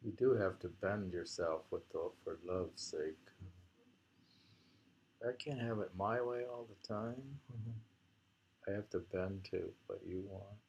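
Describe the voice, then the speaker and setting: A man reading poems aloud and discussing them casually, in a deliberate way, repeating lines and musing on them. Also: low voice, a little mellow, breathy edge, lo-fi sound. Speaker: poetry reader and commentator.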